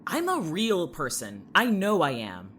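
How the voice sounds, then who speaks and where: A woman's voice: lowish voice, huge pitch swings, measured pace, fairly bright tone, a little hiss or hum, exaggerated voice. A woman playing a defensive, foul-mouthed woman in an audio drama, combative in manner. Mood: angry. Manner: combative, profane. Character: defensive, foul-mouthed young woman insisting she is real.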